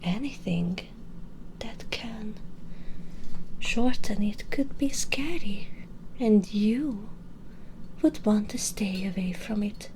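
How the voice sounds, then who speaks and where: A woman voicing a menacing, vengeful female vampire in an intimate ASMR roleplay, breathy and whispery, taunting and condescending with deliberate phrasing, close to the microphone. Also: mid-range voice, warm tone, slight background noise, poor audio. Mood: cold, amused menace. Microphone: close binaural microphone.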